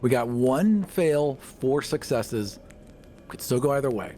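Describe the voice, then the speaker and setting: A man's voice: mid-range voice, expressive, takes it slowly, dark tone, slight background noise. A man playing a tabletop game, describing his actions and rolls in casual talk. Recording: music behind the voice. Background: music.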